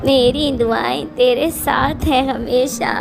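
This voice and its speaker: A woman voicing a tender, worried elderly mother in a cartoon story, speaking slowly. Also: high voice, fairly even intonation, vocal fry, full character voice. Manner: slow, soft and blessing. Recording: cartoon voice-over with music.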